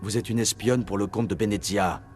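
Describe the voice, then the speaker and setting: Casting: male actor as steady game officer, low-pitched, terse and businesslike, music behind. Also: fairly quick, lightly acted. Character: steady commanding male military officer, interrogating. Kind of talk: dubbed video game dialogue.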